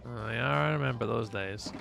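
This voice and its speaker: A man's voice: medium pitch, moderate pace, gravelly, over music. A Scottish man streaming live, chatting casually with his viewers in a rambling way, full of fillers and restarts. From Scotland. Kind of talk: casual chat with live chat while gaming.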